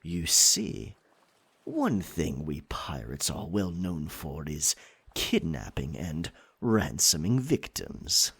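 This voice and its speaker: A man playing a possessive, roguish pirate captain in an ASMR roleplay, theatrical, teasing and dominant, with a husky, breathy voice. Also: deep voice, heavily acted.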